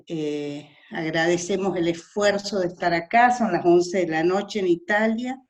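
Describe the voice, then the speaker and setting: Woman host, slow hesitant intro full of fillers, slightly low voice, video-call audio. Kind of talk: online talk introduction.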